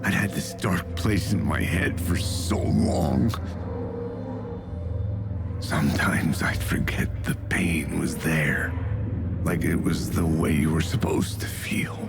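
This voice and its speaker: An actor playing a weary, guilt-ridden man in a video game, confessing his inner darkness in a slow, brooding, gravelly monologue. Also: a bass voice, steady tone, heavily acted. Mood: bleak, remorseful. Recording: loud music over the voice. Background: loud music.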